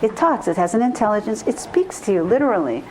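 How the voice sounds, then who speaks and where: A woman's voice: mid-range voice, sing-song, quick pace, slight background noise, rough recording. A woman giving an informal spiritual talk as a therapist and teacher, speaking earnestly and repeating phrases for emphasis.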